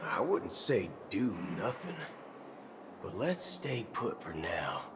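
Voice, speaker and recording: Deep, animated cocky cowboy gunslinger. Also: male voice, slow pace. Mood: cocky, scheming. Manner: folksy, dropping casual slang and softened swears. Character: brash drawling cowboy gunslinger, cocky and shrewd. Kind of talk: video game voice acting. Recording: game music behind the voice. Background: game soundtrack music.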